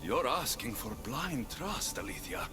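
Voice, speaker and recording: An actor playing a wary ancient Greek warrior in a video game, blunt and full of sceptical questions. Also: male voice, mid-range voice, very animated, measured pace, music in the background. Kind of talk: scripted game cutscene acting.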